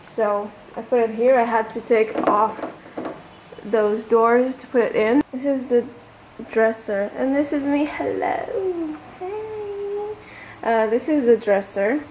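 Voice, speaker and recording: Young woman chatting slowly, swearing casually. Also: fairly high pitch, echoey room, noisy background. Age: 20s. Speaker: travel vlogger. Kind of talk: casual vlog with room tour. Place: small rented room in a residence. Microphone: handheld camera at arm's length.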